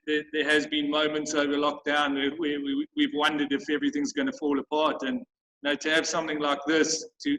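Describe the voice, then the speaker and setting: Bright-voiced man on a video call. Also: a little high, slight background noise, poor audio. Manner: halting, many restarts. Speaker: school sports program organizer. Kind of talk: grateful casual video call chat.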